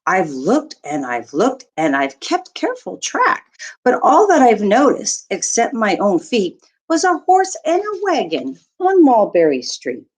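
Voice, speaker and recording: A woman reading a rhyming children's picture book aloud like a teacher, expressively and deliberately, as a storyteller would to young listeners. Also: mid-range voice, huge pitch swings.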